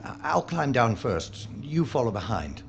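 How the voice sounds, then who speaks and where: An actor playing a wry, gallant gentleman investigator in an audio drama, with a polite, hesitant manner. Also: mid-range voice, big pitch swings, a little slow, vocal fry, background noise. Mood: concerned.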